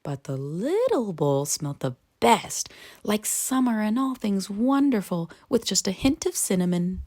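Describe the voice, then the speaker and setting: A naive, wide-eyed little snowman, as a woman reader puts him on for a children's storybook read-aloud. He is cheerful and chatty, with big swoops in pitch and a husky edge. The sound is close and dry. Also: big pitch swings, unhurried, warm tone, no echo. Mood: wondering, storytelling.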